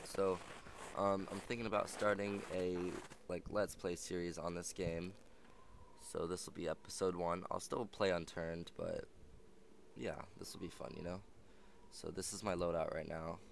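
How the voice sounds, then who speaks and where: Low-voiced young man, chatty gameplay host. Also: flat delivery, unhurried, gravelly. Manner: rambling, filler-heavy, chatty. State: sick, slightly raspy. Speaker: gaming channel host. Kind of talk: casual let's play commentary. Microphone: old, static-prone headset mic. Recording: noisy home mic with static.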